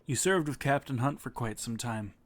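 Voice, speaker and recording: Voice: mid-range voice, normal speed. A streamer casually narrating and reacting to a story game, exclaiming at choices. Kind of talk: casual let's-play chat and narration.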